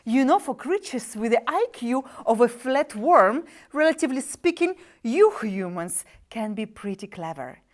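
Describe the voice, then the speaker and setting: A woman's voice: fairly high pitch, very animated, moderate pace, few pauses. A woman playing a wisecracking demon sub-agent who deals in souls with breezy, mocking sales patter in a dramatic script reading. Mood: breezy, condescending.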